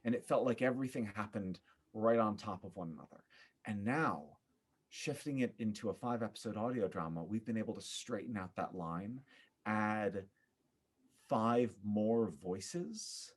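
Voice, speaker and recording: Low-voiced man, earnest and thoughtful. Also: lively intonation, slightly rough. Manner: earnest, thoughtful, halting with repeated phrases. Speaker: guest, theater director. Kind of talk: reflective interview talk.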